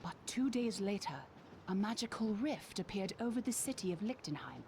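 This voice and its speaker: Woman as an earnest young monster hunter, medium pitch. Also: animated, normal speed, noisy background, lightly acted. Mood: solemn, reflective. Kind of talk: dramatic video game voice acting. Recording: music under the voice.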